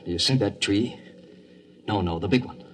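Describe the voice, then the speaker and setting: A man's voice: fairly low pitch, moderate pace, lightly acted. Jumpy outpost quartermaster played by a man, noisy. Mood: agitated. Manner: agitated, emphatic. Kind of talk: 1950s radio drama acting. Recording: noisy old radio recording with ambience.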